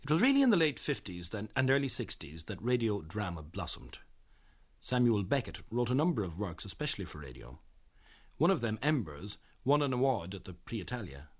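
A man with an Irish accent presenting scripted documentary narration in a measured, earnest manner. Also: lowish voice, big pitch swings.

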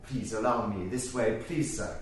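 An actor playing a fussy, courteous librarian who hesitates and repeats himself. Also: male voice, fairly low pitch, normal speed, slight background noise, close to an everyday voice. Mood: fussy polite. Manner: hesitant, polite, full of "uh" and repeated words. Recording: roomy echo.

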